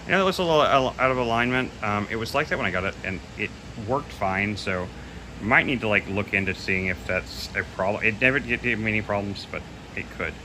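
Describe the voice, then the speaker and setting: A man's voice: fairly low pitch, fast. A man giving a casual, unscripted equipment demo in a loose, somewhat hesitant way, readily admitting what he doesn't know. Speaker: presenter demonstrating audio equipment. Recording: clearly noisy home recording.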